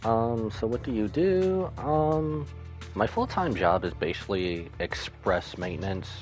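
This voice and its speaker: Male game streamer, low but animated, rambling casual chat over faint music. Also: a little slow. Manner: rambling, thinking aloud. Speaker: live streamer. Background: video game music and dialogue.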